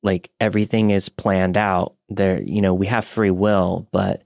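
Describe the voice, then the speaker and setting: Low-voiced man, dry close sound. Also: flat delivery, unhurried, vocal fry, darkish tone, no room sound. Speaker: podcast co-host. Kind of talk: casual reflective chat, reading listener stories.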